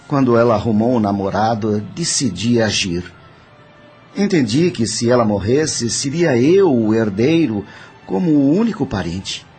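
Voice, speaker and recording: An actor with a Brazilian accent playing a dead, greedy, scheming nephew who confesses in a matter-of-fact, calculating way in an audio drama. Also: male voice, medium pitch, darkish tone, lightly acted.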